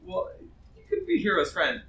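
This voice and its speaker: A male game master with a very animated, bright delivery, wry and playful as he narrates a tabletop game. He is heard in an echoey, noisy room, off the mic. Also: sits high, normal speed, far from the mic, lo-fi sound.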